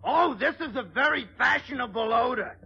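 A man's voice: extremely high pitch, expressive, moderate pace, creaky, husky, exaggerated voice. An actor playing a pushy, wisecracking male perfume clerk in a 1940s radio comedy, with a brash delivery. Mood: salesman patter. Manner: loud, brash comic delivery. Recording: dull vintage radio recording, audience behind.

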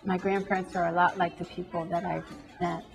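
Middle-aged lady artist in an interview, kinda low voice, thoughtful. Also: little pitch movement, a little fast, gravelly. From United States. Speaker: artist and foundation founder. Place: classroom.

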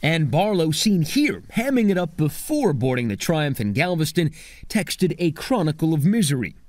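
A man's voice: fairly high pitch, very animated, moderate pace, darkish tone, no room sound, studio quality. A man reporting a news story as correspondent, with a dramatic, emphatic scripted delivery.

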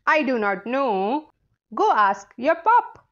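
A woman plays a cheerful little hopping creature from a children's storybook. The voice is high and leaps about in a bouncy sing-song, at an unhurried pace. The sound is slightly dull. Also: sing-song, exaggerated voice.

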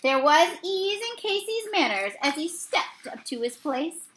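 A woman with a high, bright voice reads verse aloud from a picture book. Her delivery is halting, with the odd misreading that she goes back to fix. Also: very animated, slight background noise, rough recording. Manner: halting, with misreadings and self-corrections. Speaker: read-aloud reader. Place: small indoor room.

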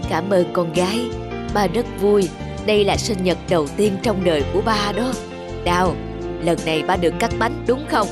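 A doting older father in an animated drama, acted by a woman. The delivery is affectionate and gently teasing, in a medium-pitched voice over clear music. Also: normal speed, lightly acted. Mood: grateful, happy. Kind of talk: animated cartoon drama acting.

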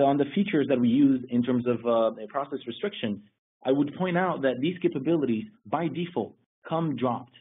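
A man presenting a technical webinar, explaining things steadily with occasional restarts. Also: mid-range voice. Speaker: security lead presenting a webinar. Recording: husky voice over a tinny phone-like line.

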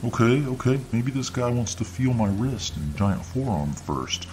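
A man's voice: medium pitch, animated, measured pace, music in the background, pitched above the performer's own voice, close to an everyday voice. A man voicing a cocky muscle-bound hero. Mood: puzzled. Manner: boastful, defiant. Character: cocky muscular male town hero.

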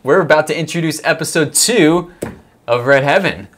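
A man's voice: on the high side, normal speed, fairly bright tone, slight background noise. A young man hosting a video editing tutorial in a casual, jokey chat style, on a lapel mic in a small room. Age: young adult. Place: small home studio room, indoors.

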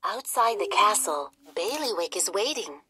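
A woman reading a children's story aloud in an expressive, theatrical manner. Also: medium pitch, a little slow, breathy edge, dry recording. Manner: animated, theatrical. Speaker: storybook reader.

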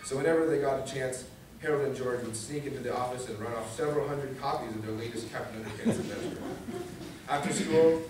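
A man's voice: medium pitch, fast. A young man reading a children's book aloud to an audience in a meeting room, picked up from a distance. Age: young adult. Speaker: guest reader, student government vice president. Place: medium indoor meeting room at a library. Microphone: distant camera facing a podium. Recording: echoey room from far off, noisy.

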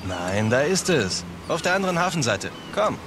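An actor playing a confident, protective adventurer with a casual, dryly joking delivery in a German video game dub. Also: male voice, fairly high pitch, very animated, normal speed, noisy background. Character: wry adventurous male treasure hunter, protective and confident.

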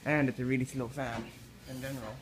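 Male vlogger, everyday mid voice, halting delivery, phone audio in a home with audible room noise. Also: mid-range voice, little pitch movement. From Philippines. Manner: halting, repeats words and restarts often. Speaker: fan enthusiast vlogger. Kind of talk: casual filmed commentary. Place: indoors in a house kitchen. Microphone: handheld phone camera.

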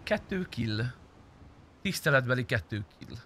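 A man's voice: mid-range voice, sing-song, sharp tone, slight background noise. A young man chatting casually while livestreaming a game, blunt and slangy with frequent swearing. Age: young adult. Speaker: game streamer. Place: indoor streaming room.

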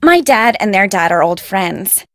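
A woman's voice: medium pitch, expressive, unhurried, no echo, clean studio sound. An actress playing a young, spoiled American socialite in a video game, gushing and self-important as she talks. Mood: gushing, chirpy. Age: young adult.

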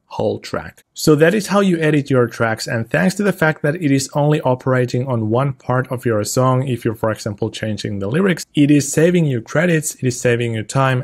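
A young man talks through a software tutorial in a casual, upbeat way. His voice sits at an ordinary pitch for a man, and he explains step by step, thinking aloud with little asides. He speaks from an indoor room. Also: creaky. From Finland. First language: Finnish. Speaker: tutorial host. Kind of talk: casual screen-recorded tutorial walkthrough.

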